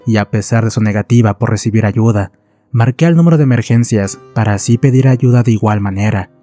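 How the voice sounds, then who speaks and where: A man tells a horror story. His voice is fairly low and very mellow, with measured, solemn delivery. Soft music plays faintly behind him. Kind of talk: scripted dramatic first-person narration.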